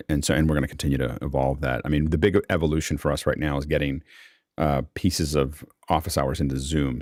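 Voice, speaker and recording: A man's voice: very deep voice, no room sound, studio quality. A man hosting a casual unscripted panel discussion, rambling and thinking aloud as he repeats words. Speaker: host. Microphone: close microphone on an online video call.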